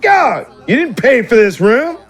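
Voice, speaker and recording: An annoyed male guest in a fantasy tavern game, acted out by a man. He is brusque and dismissive, his voice pushed high over music. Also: very animated, measured pace, heavily acted.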